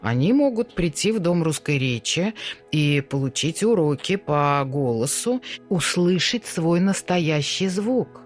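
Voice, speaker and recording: A woman's voice: low voice, lively intonation, measured pace, faint music behind. A woman who teaches stage speech, talking in a radio interview and demonstrating voice techniques as she explains them.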